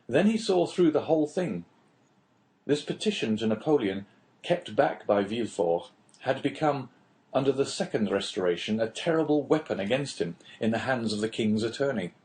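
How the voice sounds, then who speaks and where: A male audiobook narrator with a fairly low voice. He reads literary prose in a measured way, phrasing each clause clearly as he tells the story. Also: darkish tone.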